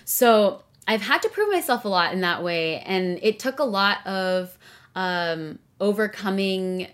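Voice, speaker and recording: A woman's voice: medium pitch, animated, a little slow, fairly bright tone. A young woman talking casually and earnestly as a podcast guest, with many fillers. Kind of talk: casual interview conversation.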